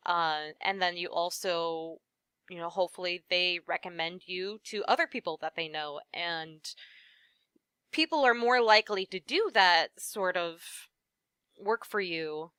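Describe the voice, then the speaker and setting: A woman with a low-set but bright, crisp voice talks through advice in a relaxed interview. She weighs her points as she goes, and her sentences often fade softer at the end. The sound is close and dry, with no room around it. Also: unhurried, dry recording. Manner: thoughtful, advising, trails off softly at ends. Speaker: voice actress, guest. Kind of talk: casual interview chat.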